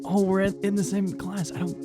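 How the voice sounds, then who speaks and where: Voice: mid-range voice, level tone, brisk. A person bantering casually at a tabletop fantasy game in a dry, questioning manner. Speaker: player in an actual-play tabletop game podcast. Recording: music clearly heard behind the voice. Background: background music.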